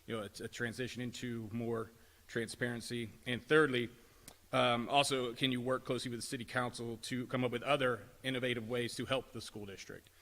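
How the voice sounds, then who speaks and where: A man asks his questions at a school board podium in a polite, hesitant way, leaning on fillers. His delivery is flat and monotone, and his tone is bright and somewhat tense. The meeting hall adds a long reverb. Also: mid-range voice, brisk. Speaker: public commenter. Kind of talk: public comment at a board meeting.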